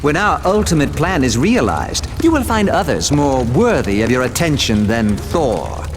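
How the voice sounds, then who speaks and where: Game role: haughty scheming trickster god, swooping highish voice, sneering, long reverb. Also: male voice, sing-song, normal speed, faint music behind. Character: vain, scheming, mocking trickster god villain. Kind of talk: video game cutscene acting.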